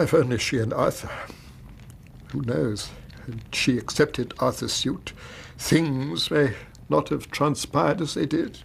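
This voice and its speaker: An actor playing an anxious, grieving middle-aged English widower and banker in a radio drama, telling his story in a formal, halting, agitated way. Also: mid-range voice, creaky, a little hiss or hum. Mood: troubled.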